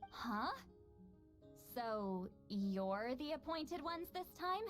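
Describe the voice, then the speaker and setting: A woman's voice: a little high, very animated, extremely slow, exaggerated voice. A woman playing a centuries-old shaman in a video game, proud and irritable, with an aloof, dramatic manner. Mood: dismissive. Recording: music under the voice.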